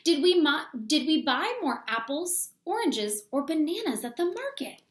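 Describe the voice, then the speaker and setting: A woman in her thirties reading a children's book aloud like a teacher, explaining deliberately and asking the young listeners questions. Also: sits high, big pitch swings. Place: small plain room at home, indoors.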